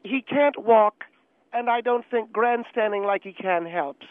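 A high-pitched, self-righteous woman played by a man argues as a talk radio guest over a narrow phone line. She is opinionated and condescending, needling whoever she talks to. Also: measured pace, dry recording. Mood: indignant. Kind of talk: talk radio debate in character.

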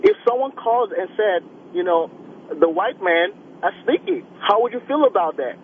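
A male radio caller with a Nigerian accent talks earnestly and stammers when flustered. His voice is high. It comes through a tinny phone line with a faint hiss. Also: lively intonation, normal speed.

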